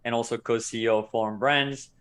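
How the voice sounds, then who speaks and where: A man in his thirties who grew up in Israel, a company co-founder telling his story as an interview guest in a measured, explanatory way. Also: medium pitch, fairly even intonation, fairly bright tone. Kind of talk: casual interview talk.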